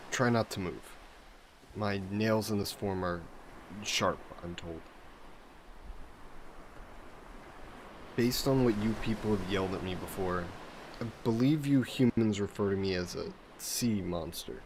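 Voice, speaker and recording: A man voicing a vain sea monster who poses as a mannered gentleman in a roleplay audio drama, speaking in suave, formal phrases. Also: fairly low pitch, background noise. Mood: cold, menacing. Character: flirty, vain sea monster posing as a gentleman traveler, turning menacing.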